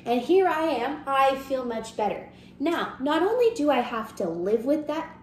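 A woman playing a bitter, self-mocking character in a wry, conversational stage monologue. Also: on the high side. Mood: bitter, sarcastic. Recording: roomy echo with faint background noise.

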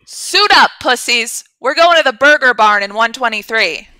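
Woman as a bright-voiced, brash podcaster heroine. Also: fairly high pitch, expressive, normal speed, clear, resonant tone, low-quality recording. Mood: commanding. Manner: hard-boiled, bossy. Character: brash, sardonic, jaded female podcaster heroine. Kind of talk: audio drama acting.

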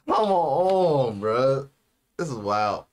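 A man's voice: mid-range voice, big pitch swings, very slow, a little mellow. A young man in casual reaction chat as a show host, talking in slang with plenty of bro and man. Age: young adult. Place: small room indoors, seated.

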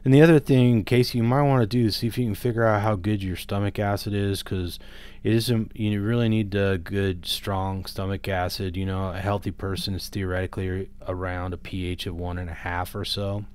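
A man's voice: low-pitched, level tone, brisk, a little mellow, clean tone. A man in his fifties talking casually and unscripted to camera as a vlogger, giving advice in a rambling, hesitant, conversational way, close to the microphone. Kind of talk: casual unscripted vlog talk. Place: small room at home, indoors. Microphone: large microphone on a boom arm, close up.

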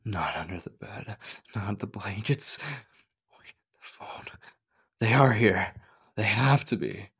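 Slow, breathy man acting a possessive stalker. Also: lowish voice, lively intonation, fairly bright tone, full character voice. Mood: desperate, frantic. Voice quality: breathy, gravelly, often dropping to a whisper. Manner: menacing, slow, coaxing. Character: possessive, obsessive male stalker hunting his beloved. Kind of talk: ASMR roleplay acting.

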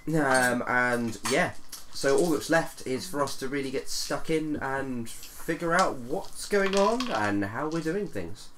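A man's voice: medium pitch, lively intonation, slow pace, hardly any pauses, a bit bright. A man chats casually as a player on a tabletop game stream, rambling and leaning on filler phrases. He is in a living room on a shared mic, with faint noise and clicks behind him. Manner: rambling, frequent "you know".

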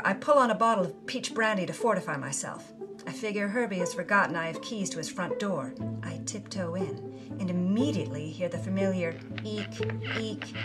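A woman's voice: lowish voice, fairly bright tone. A woman playing a brash, wronged B-movie starlet from the 1950s, telling her memoir in wry, theatrical first-person narration for an audio drama. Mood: bitter, wry. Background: music.

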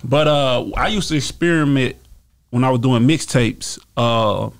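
A man's voice: mid-range voice, measured pace, vocal fry. Laid-back Texas man telling his story. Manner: relaxed, repeats phrases and fillers, gestures while talking. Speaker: guest rapper. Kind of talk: casual interview storytelling. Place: small podcast studio indoors. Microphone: studio mic on stand with headphones.

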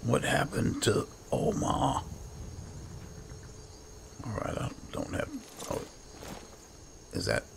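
A man's voice: mid-range voice, huge pitch swings, slow, long pauses. A man doing improvised in-character roleplay commentary over a video game, muttering as he talks to himself. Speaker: gaming channel host. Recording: clear background game noise.

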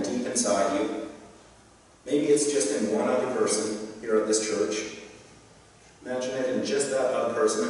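Young pastor in an echoey sanctuary. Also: male voice, fairly low pitch, a little hiss or hum. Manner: earnest, encouraging, with light asides and gestures. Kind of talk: sermon. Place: church sanctuary, speaking from a pulpit. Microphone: pulpit microphone. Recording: echoey sanctuary, heard from a distance.

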